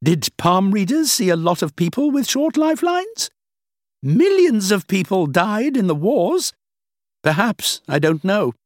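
A man's voice: high voice, sing-song, measured pace, no echo, studio quality, pitched above the performer's own voice. A man doing a scornful skeptical older woman. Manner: scornful, lecturing, emphatic.

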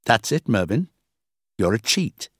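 A man's voice: on the low side, big pitch swings, measured pace, a bit bright, slightly rough, no room sound, studio quality, heavily acted. A man voicing an earnest, determined young woman employee in an audiobook, plain and insistent. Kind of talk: audiobook character acting.

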